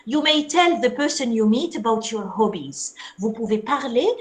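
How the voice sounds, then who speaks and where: A woman's voice: fairly high pitch, lively intonation, moderate pace. A woman with an Algerian French-influenced accent teaching English in an online class, repeating example phrases and checking whether students follow.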